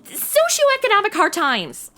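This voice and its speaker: Sarcastic, lively young American sidekick. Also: female voice, very high voice, measured pace, heavily acted. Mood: complaining. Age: 20s. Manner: flippant, complaining. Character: sarcastic, slangy modern twenty-something woman turned detective sidekick. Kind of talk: comedy audio drama acting.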